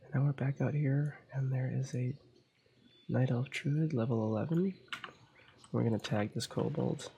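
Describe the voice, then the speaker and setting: Gravelly soft-spoken man with a cold. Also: medium pitch, moderate pace, a little hiss or hum. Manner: quiet, slipping into whispers. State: has a cold. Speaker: ASMR gaming channel host. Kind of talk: soft-spoken ASMR gameplay commentary.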